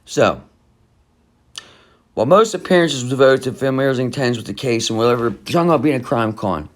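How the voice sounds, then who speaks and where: Rambling skeptical man reading crime news. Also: mid-range voice. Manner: rambling, skeptical, hums between passages. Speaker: true crime channel host. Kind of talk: casual commentary reading an article aloud.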